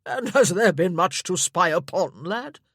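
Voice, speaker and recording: Lady putting on a loud jolly old lord, big booming voice, swoops all over the place, pretty husky. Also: expressive, measured pace, no echo, clean studio sound, exaggerated voice. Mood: boisterous. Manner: booming, roaring with laughter. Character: jovial loud elderly nobleman, boisterous uncle.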